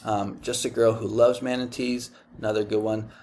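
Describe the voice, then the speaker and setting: A man's voice: lowish voice, flat delivery, normal speed, a little mellow, slight background noise. A man with a Dominican accent hosting a casual screen-share tutorial, chatty and rambling with many ums and restarts. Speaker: YouTube host teaching print-on-demand design.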